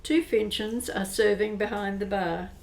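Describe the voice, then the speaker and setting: A woman giving steady, descriptive narration for an amateur radio drama. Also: mid-range voice, measured pace. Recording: roomy echo.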